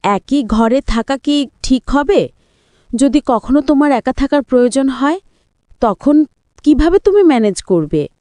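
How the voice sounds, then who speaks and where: A woman's voice: high voice, lively intonation, a little slow, dark tone, dry recording. A woman voicing a worried middle-aged mother who earnestly questions and admonishes her grown children in a told story. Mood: concerned, firm.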